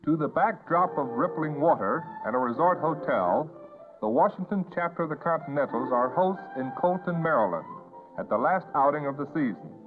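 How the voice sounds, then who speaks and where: Male American newsreel narrator, 1950s; polished announcer delivery, higher-set voice, thin old soundtrack with music. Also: lively intonation, normal speed. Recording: tinny band-limited 1950s newsreel soundtrack.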